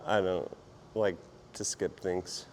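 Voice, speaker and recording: A man with a hoarse, partly lost voice talks casually and self-deprecatingly as a fitness vlogger while he exercises. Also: deep voice, steady tone, takes it slowly, a little mellow. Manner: informal, self-deprecating, rambling while exerting himself. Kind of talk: casual workout vlog chat while exercising.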